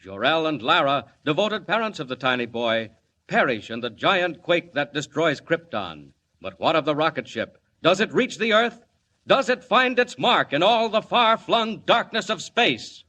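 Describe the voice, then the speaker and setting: A grandiose man narrates an old 1940s radio serial, high and bright with sweeping intonation. He declaims each line dramatically over the faint noise of a vintage recording. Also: big pitch swings, normal speed. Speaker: announcer and narrator.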